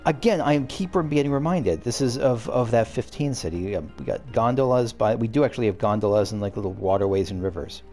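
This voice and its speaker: A man's voice: on the low side, fairly quick, hardly any pauses, mellow, soft background music. A man casually commenting while playing a game, rambling and cutting himself off as he thinks aloud. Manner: rambling, self-interrupting, musing aloud. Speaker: let's play host.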